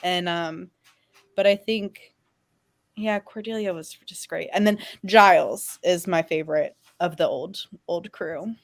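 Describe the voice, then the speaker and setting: A woman's voice: on the low side, measured pace. A woman chatting casually as a panelist in a fan discussion.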